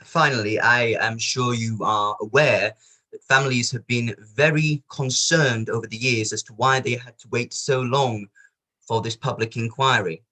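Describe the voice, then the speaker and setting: A male actor reads a barrister at a public inquiry in a courtroom drama. He is formal and courteous, with a measured, probing way of putting questions. His voice sits at a middling pitch. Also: steady tone, sharp tone, poor audio. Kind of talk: online table read of a courtroom play.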